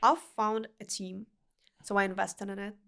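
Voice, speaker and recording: A young woman from Uzbekistan who speaks English with a Russian accent as a non-native speaker, talking earnestly with halting phrasing and restarts as a podcast guest. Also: mid-range voice, flat delivery, vocal fry, a bit bright. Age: young adult. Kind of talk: casual interview chat.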